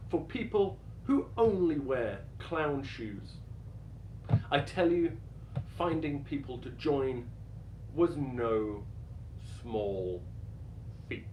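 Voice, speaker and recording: A man performing a stand-up routine as a dramatic reading, deadpan and self-deprecating, with deliberate pauses. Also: a little high. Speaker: stand-up comedian. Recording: roomy echo with faint background noise.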